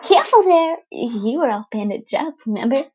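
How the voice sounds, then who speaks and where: A giddy teenage villain girl, voiced by a woman narrator, bubbly and teasing, pitched higher in a storytelling style. She sounds gleefully cheerful and playful, on a muffled home recording. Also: very animated, moderate pace, a little mellow. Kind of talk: fan fiction audio storytelling.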